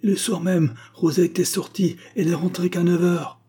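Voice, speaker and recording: A man voicing a haughty, vindictive woman in a story, sharp and indignant, quick to accuse. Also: on the high side, breathy edge, pitched above the performer's own voice. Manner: sharp, indignant, hard-toned.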